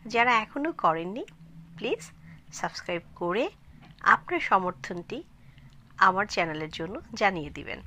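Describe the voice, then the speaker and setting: A woman storyteller narrates a scripted tale at a slow, measured pace. Her voice is clearly bright and forward, and she delivers the text phrase by phrase. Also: mid-range voice, expressive. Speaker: channel storyteller.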